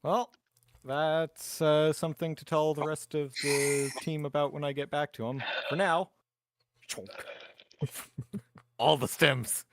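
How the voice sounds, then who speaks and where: A man's voice: fairly high pitch, fairly even intonation, slow pace, long pauses, no room sound, clean studio sound. Wry man playing a tabletop game. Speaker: player in a tabletop roleplaying game. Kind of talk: casual game chat.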